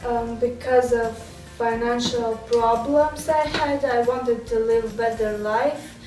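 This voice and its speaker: A woman's voice: on the high side, steady tone, slow pace, a little mellow. A woman from Latvia, answering hesitantly in English. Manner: hesitant, non-native phrasing. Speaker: interviewee. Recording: echoey room, distant mic, faint music.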